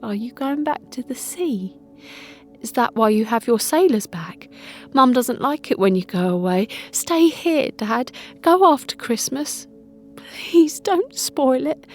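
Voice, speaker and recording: A woman's voice: sits high, expressive, slow, soft background music, pitched above the performer's own voice, full character voice. A woman acting out a hopeful, imploring young girl begging her father in an audio drama. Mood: pleading. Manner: childlike, imploring.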